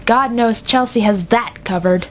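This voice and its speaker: A woman playing a bossy, sardonic teenage debate team captain in an amateur radio drama, brisk and dry. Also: natural voice. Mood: dry, focused. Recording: clear background noise with low rumble.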